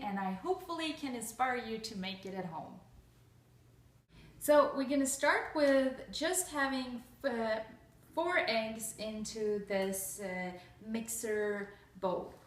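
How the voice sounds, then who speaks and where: A young woman from Russia demonstrates a recipe in her home kitchen, chatting casually with lots of fillers and restarts. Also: high-pitched. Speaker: cooking vlogger. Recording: echoey kitchen, voice far from mic.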